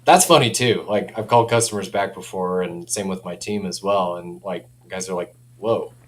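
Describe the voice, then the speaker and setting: A man with a fairly low voice talks in a chatty, informal way, like an enthusiastic company rep. His delivery is casual and keen. There is noticeable noise and hum behind him. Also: moderate pace. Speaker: audio company representative, guest. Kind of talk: casual product chat.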